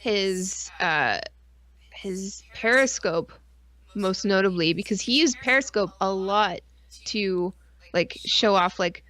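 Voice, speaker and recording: Woman podcast co-host, mid-pitched, casual and rambling, informal with mild swearing. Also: slow pace, fairly bright tone. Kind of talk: casual chat.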